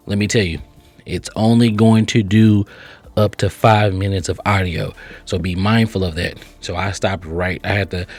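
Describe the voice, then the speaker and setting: A man with a fairly low voice hosts a casual step-by-step tutorial. He is chatty and instructional, often tagging sentences with quick check-ins. Music plays faintly behind him. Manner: chatty, instructional, frequent check-in tags like right and okay. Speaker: host of software tutorial channel.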